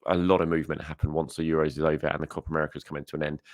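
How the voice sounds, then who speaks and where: A hoarse young man hosts a football news show, with a deep voice that sounds croaky. He talks through the news in a loose, chatty way, with plenty of fillers and restarts. The sound is close and dry, with no room behind it. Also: level tone, racing, dry recording. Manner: chatty, with frequent fillers and self-corrections. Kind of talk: casual solo commentary.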